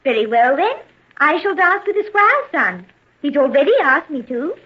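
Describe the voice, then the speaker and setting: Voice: high voice, very animated, moderate pace. A performer playing a haughty, stubborn ten-year-old girl in an old-time radio drama, prim and defiant. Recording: dull old radio recording.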